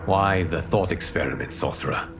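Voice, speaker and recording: An actor playing a calm male protector who leads his allies and speaks in a composed, earnest way in a game cutscene. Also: sits low, moderate pace, noisy background. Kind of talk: video game cutscene acting. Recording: game audio with music bed.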